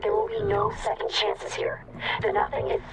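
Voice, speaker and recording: An actress playing an earnest, grave resistance operative sending an urgent radio transmission in a video game. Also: female voice, normal speed. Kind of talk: scripted video game voice acting. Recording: noisy, distant radio transmission.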